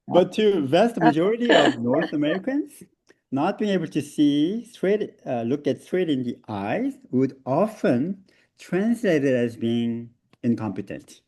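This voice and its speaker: A man with a Korean accent leads a seminar over a video call. His pitch rises and falls a lot, and he laughs easily between hesitant fillers. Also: mellow, slightly rough. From South Korea. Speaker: seminar presenter. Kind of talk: online seminar talk.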